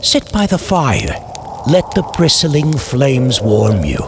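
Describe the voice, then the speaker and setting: A man playing a sinister horror host in old-time radio style, theatrical and menacing, with breathy drawn-out phrases over music. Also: mid-range voice, wildly swooping pitch, background noise, heavily acted. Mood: menacing, inviting.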